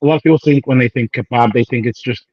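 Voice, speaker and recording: A man's voice: medium pitch, fast talker, dry recording, highs cut off. A man in his thirties, a professional bodybuilder, chatting casually in an interview, rambling in slang and acting out past conversations. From United Kingdom. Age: 30s. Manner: rambling, slangy, acts out quoted dialogue.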